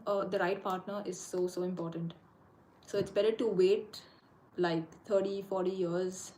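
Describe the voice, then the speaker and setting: Brief: young woman, fairly low voice, casual livestream chat, hesitant with frequent fillers, faint room hum. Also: a little mellow, low-quality recording. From India. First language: Konkani. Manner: hesitant, many fillers and restarts. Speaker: livestream host. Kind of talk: casual live Q&A. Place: indoors, abroad while traveling.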